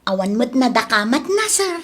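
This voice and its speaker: A woman's voice: on the high side, sing-song, normal speed, a bit bright. A Filipino-accented woman playing an eager, flirtatious housemaid in a comedy drama, giggly and coy. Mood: flirty, coy. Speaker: actor.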